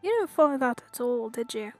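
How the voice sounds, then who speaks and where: A woman's voice: a little high, very animated, a little fast, music in the background. A woman giving casual, musing commentary as she plays a game, feeling her way through her thoughts. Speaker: game streamer.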